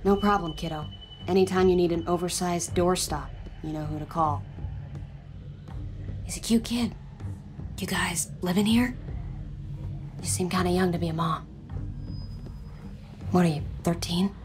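Video game survivor, played by an actress: woman, lowish voice, tough yet friendly, folksy and wry, music underneath. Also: a little slow, long pauses, a little mellow, close to an everyday voice. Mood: curious, kind. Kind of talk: naturalistic video game acting.

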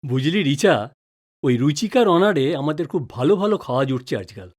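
Middle aged dad in a radio play, fatherly n advising, gently teasing, really expressive pitch. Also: normal speed. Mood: teasing, defensive. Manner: avuncular, advising. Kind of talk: audio drama acting.

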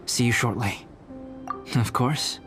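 A man voicing a calm, aloof young scholar in a video game, speaking in a measured, formal way. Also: medium pitch, over music, lightly acted. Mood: composed.

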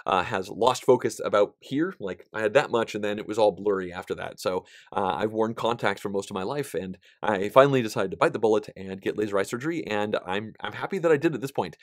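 A man in his thirties with a somewhat nasal voice, giving a casual, animated vlog update about his board game channel. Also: on the low side, brisk, hardly any pauses, dry recording, studio quality. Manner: chatty and animated, gesturing with both hands, frequent fillers. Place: small indoor studio, plain backdrop.

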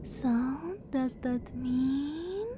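A woman voicing a playful, eager younger vampire sister in a teasing, breathy ASMR roleplay. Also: high voice, background noise. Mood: gleeful. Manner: slow, teasing, breathy half-whispers.

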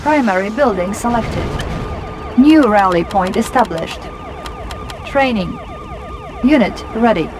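A woman's voice: medium pitch, animated, slow pace, pauses often, background noise. A woman playing a formal, businesslike Soviet military officer in a strategy game, speaking in clipped scripted announcements.

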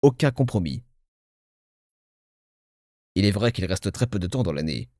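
A man playing a pompous, self-satisfied game narrator with formal, measured, grandiloquent delivery. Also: deep voice, very animated, warm tone, no room sound, studio quality. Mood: reassuring, self-assured. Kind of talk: theatrical scripted game narration in French fan dub.

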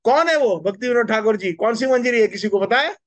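High-voiced man giving an animated sermon. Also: brisk, dry recording, lo-fi sound. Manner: animated, gesturing, asks the audience questions. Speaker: spiritual speaker. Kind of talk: Hindi devotional lecture. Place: temple hall indoors. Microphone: stand mic close to mouth.

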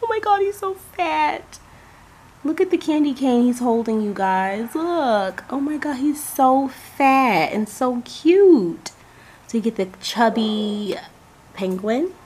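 A woman hosting a casual unboxing vlog, hoarse from a cold, chatty and gushing as she talks to her viewers. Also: high voice, animated, takes it slowly, a little mellow, a little hiss or hum. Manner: chatty, gushing, addresses viewers as "you guys". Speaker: crafting channel host.

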